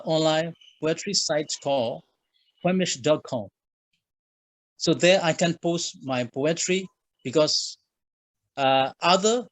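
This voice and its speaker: A man from Myanmar with a Burmese accent, a guest author answering interview questions in a halting way with many fillers. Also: fairly high pitch, no room sound, poor audio. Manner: halting, frequent uh fillers, simple phrasing.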